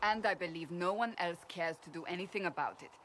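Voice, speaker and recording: A woman playing a stern, devout and blunt fantasy warrior in a video game, speaking gravely and deliberately. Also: on the low side, a little hiss or hum, lightly acted. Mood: earnest. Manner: grave, forceful, deliberate phrasing. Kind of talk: video game cutscene acting.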